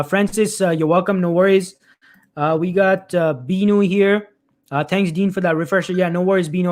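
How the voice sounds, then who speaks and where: A man with a high-pitched voice hosts a weekly career live stream and reads viewers' comments aloud. He talks loosely, restarting and repeating himself. His voice is wearing thin, and he keeps clearing his throat. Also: a little mellow.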